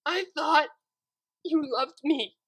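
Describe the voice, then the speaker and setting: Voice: high voice, slow pace, vocal fry, bright, forceful tone, breathy edge, voice pushed up, exaggerated voice. A reader voicing a young female pegasus filly who pleads and wails through tears, in a fan fiction audiobook. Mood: tearful. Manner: wailing, tearful pleading.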